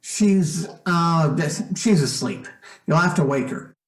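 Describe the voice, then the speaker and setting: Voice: mid-range voice, animated, slow. An actor playing an insistent, suspicious accuser in an old-time radio suspense play. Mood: insistent, menacing. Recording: echoey, faint noise, off mic.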